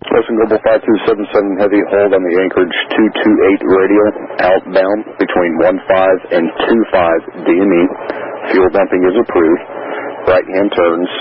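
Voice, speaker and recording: A man's voice: deep voice, level tone, normal speed. A man working as an air traffic controller, giving clipped instructions over aviation radio. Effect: aviation radio transmission. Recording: dull, noisy aviation radio audio.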